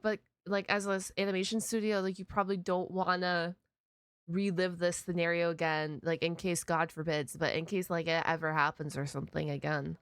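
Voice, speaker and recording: A woman hosting a reaction video with casual, expressive commentary full of exclamations and slang. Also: fairly low pitch, moderate pace, smooth, no echo, clean studio sound.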